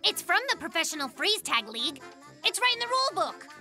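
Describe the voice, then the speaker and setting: A woman's voice: very high voice, big pitch swings, a little fast, pushed and bright, exaggerated voice. A woman voicing a boastful, cheeky little boy bear cub in a children's cartoon, cocky and insistent. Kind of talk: animated children's cartoon acting. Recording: music behind the voice.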